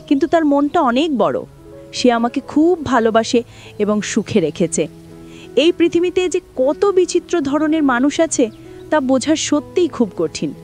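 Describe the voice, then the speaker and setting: A woman's voice: sits high, darkish tone. A woman narrating a scripted first-person dramatic story. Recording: music bed under the voice. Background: background music.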